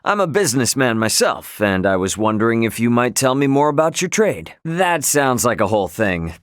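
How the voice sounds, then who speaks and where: A man's voice: mid-range voice, big pitch swings, normal speed, a bit bright, clear, resonant tone, no echo, studio quality. A man voicing an easygoing, friendly young trader and adventurer in a video game, with a casual, folksy delivery.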